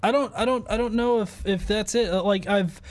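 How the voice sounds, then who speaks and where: A man in his thirties hosting a casual debate on a video call, halting and repeating words as he argues his points. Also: sits high. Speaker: host of an atheist debate show. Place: small furnished room at home, video call.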